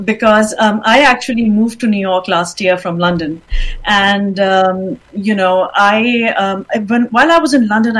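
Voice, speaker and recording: A middle-aged woman from Pakistan answering interview questions as a guest, speaking in a measured way with frequent ums and restarts. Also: mid-range voice, low-quality recording. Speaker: guest, fashion event founder.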